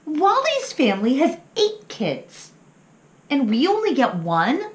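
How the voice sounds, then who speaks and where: Woman voicing an eager high-pitched little wolf pup. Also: wildly swooping pitch, takes it slowly, full character voice. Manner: childlike, emphatic questioning. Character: curious little wolf pup child, eager, a bit grumbly. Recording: roomy, echoing sound.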